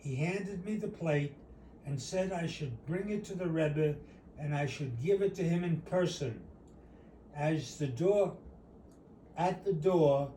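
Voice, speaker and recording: A man reading his own short story aloud as its author, in a measured, deliberate storytelling manner. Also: fairly high pitch, mellow. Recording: roomy, distant mic, faint noise.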